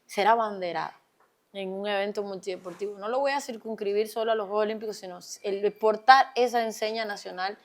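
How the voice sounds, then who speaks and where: A woman's voice: mid-range voice, brisk. A woman leads an interview. She moves between the questions with a playful, bantering energy and reads out what the audience sends in. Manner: lively and playful, reading out questions. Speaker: interviewer host.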